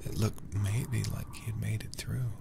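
A man voicing a twitchy, eerie child devoted to grandpa, in a hushed, halting horror-game narration. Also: fairly low pitch, fairly even intonation, vocal fry, background noise. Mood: uneasy, eerie.

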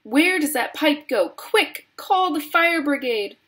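A woman's voice: high voice, lively intonation, slow, fairly bright tone, pitched above the performer's own voice, full character voice. A woman voicing a hysterical, indignant mother who shrieks and scolds, in a storybook reading. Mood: panicked shrieking. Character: hysterical, indignant, screeching mother of a greedy boy.

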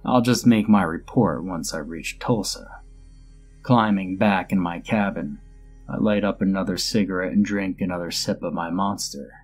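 A man narrating a first-person horror story in a steady storytelling voice with dry humor. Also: low-pitched, moderate pace, slightly rough. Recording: music bed under the voice.

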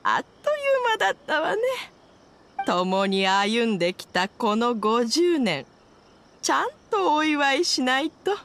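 An actress playing a gentle, contented elderly village wife in an anime game, with a kindly, unhurried manner. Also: female voice, a little high, big pitch swings, slight background noise, full character voice. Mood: fond, reflective.

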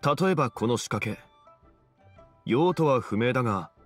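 Actor as refined scholar for an anime game: calm, eloquent, formal, middle register, light music underneath. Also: male voice, mid-range voice, normal speed, close to an everyday voice. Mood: assured. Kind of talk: Japanese anime game voice acting.

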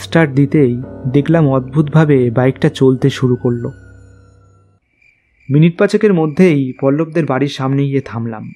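A man's voice: medium pitch, a little fast, mellow, faint music behind. A man narrating a scripted story in the first person.

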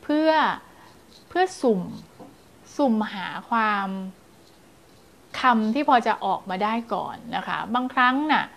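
Woman, thirties, high voice, child development teacher, animated parenting advice, small indoor studio, lapel mic. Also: slow pace, long pauses. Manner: animated, acts out scary and playful story voices to demonstrate. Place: small indoor studio in front of blue backdrop.

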